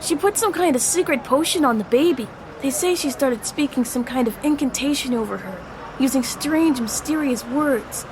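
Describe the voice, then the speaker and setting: A woman's voice: high voice, moderate pace, background noise. A woman playing an anxious middle school boy in a family audio drama, earnest and hesitant. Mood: gossipy, spooked. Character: anxious middle school boy confiding in his best friend.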